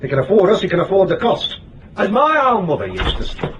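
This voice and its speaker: An actor playing a smooth-talking, flattering male detective agency boss in radio drama, glib and theatrical. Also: sits high, a little fast, recorded from a distance. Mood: glib, wheedling. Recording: muffled, off mic, faint hiss.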